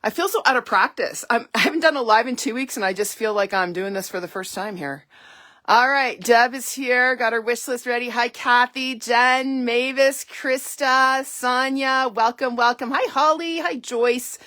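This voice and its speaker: A middle-aged woman from Canada hosting a casual live stream, chatty and upbeat, her voice a little hoarse and stuffy from illness. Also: fairly high pitch, moderate pace, sharp tone. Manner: chatty, upbeat, frequent laughs and ums. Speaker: independent stamping demonstrator hosting a live launch.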